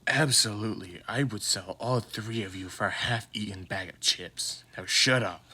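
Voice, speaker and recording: A man voicing a tired, grumpy father in a flat monotone, muttering dry sarcasm for an audio fan drama. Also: fairly low pitch, moderate pace, husky, pitched below the performer's own voice, exaggerated voice. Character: deadpan, tired, grumpy dark-haired father.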